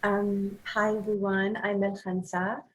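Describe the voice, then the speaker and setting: A young woman from Morocco speaks as a podcast guest, with a steady, fairly flat tone. She answers thoughtfully, pausing on ums as she works out what she means. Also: mid-range voice, poor audio. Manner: thoughtful, measured, with frequent ums. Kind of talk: casual interview talk.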